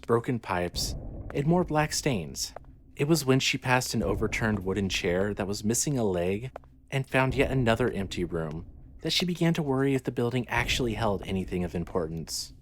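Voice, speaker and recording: A man's voice: medium pitch, a little hiss or hum. A man narrating a scripted horror story as the storyteller of an audio drama.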